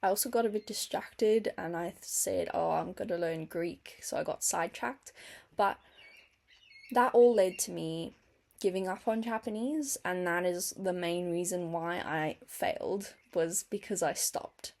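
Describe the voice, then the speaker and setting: A woman's voice: lowish voice, unhurried, darkish tone. A young woman, a non-native English speaker, talking casually to camera as a vlogger in a chatty, earnest way. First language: not English. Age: young adult.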